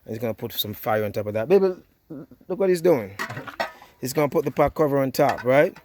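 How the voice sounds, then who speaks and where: A man's voice: mid-range voice, lively intonation, fairly quick, background noise. A man with a Jamaican accent hosting a casual cooking vlog outdoors by a wood fire, chatty and easygoing, often saying "yeah, man".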